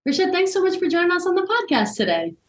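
A woman's voice: very high-pitched, big pitch swings, fast talker. A woman co-hosting a podcast over a video call, laid-back and self-deprecating. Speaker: podcast co-host. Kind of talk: casual chat.

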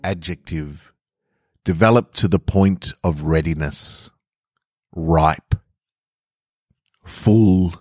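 Deep-voiced man, dry close recording. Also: very slow, pauses often, darkish tone, breathy edge, no echo, soft background music. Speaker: podcast host reading own short fiction. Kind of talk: casual intro and narrated short stories.

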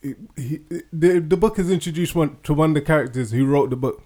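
A man's voice: a little high, a little fast, dark tone. A man co-hosting a podcast, rambling and hesitant with many fillers and restarts, and laughing often. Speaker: podcast co-host. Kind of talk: casual chat.

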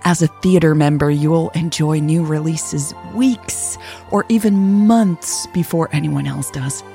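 Low, mellow woman reading a podcast promo. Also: measured pace, vocal fry, faint music behind. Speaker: podcast creator promoting memberships. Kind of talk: scripted promo read.

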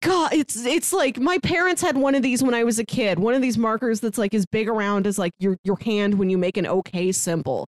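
A woman's voice: fairly high pitch, a little fast, hardly any pauses, dry recording, clean studio sound. A woman co-hosting a podcast, talking casually about film in a chatty, detailed way with playful asides. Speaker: podcast co-host.

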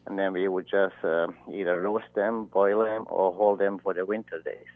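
A man with a Bosnian accent speaks haltingly as an interview guest over a phone line, searching for words. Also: deep voice, fairly even intonation, dry recording. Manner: halting, searching for words in non-native English. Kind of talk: conversational interview answers. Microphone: telephone. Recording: narrow, tinny phone line.

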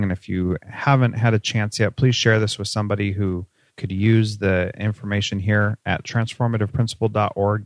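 A man's voice: on the low side, dry recording. A man hosting a podcast interview, upbeat and conversational, laughing easily with his guest. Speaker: podcast host.

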